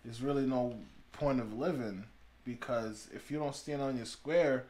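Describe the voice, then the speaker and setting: A man's voice: mid-range voice, normal speed, darkish tone. Haitian-born guy on a podcast, average voice, rambly and thoughtful, lots of "like". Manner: reflective, trails off with "like" and restarts. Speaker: podcast guest. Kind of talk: casual chat.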